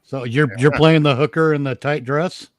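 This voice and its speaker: Wisecracking man, fairly high-voiced, dry sound. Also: fairly even intonation, normal speed, no room sound. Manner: wisecracking, profane. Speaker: panelist on an old-time radio listening show. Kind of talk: casual joking chat.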